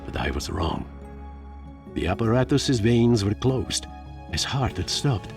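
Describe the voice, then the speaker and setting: Deep, gravelly, theatrical creature. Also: male voice, expressive, unhurried, faint music behind. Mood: melancholy. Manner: theatrical, brooding, pompous delivery. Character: Leopold, fluffy wealthy engineer creature, self-pitying, stubborn, theatrical. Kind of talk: dramatic first-person game narration.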